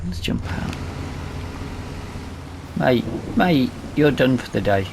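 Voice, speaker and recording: A man's voice: mid-range voice, animated, slow pace, vocal fry, background noise. A man giving casual let's play commentary on a video game, muttering to himself as he plays. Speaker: gaming video host.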